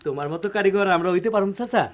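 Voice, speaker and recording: Male actor as respectful young apprentice, Dhaka-area Bangladeshi accent, high male voice, brisk pace, eager, radio drama. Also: close to an everyday voice. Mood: eager, admiring. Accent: Bangladeshi, Dhaka-area dialect.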